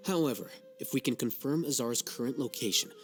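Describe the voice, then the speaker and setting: An actor playing a cool, haughty young scholar in a video game dub, measured, formal and dryly sardonic. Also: male voice, mid-range voice, expressive, faint music behind, close to an everyday voice. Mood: calm, businesslike. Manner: measured, aloof, dry, formal.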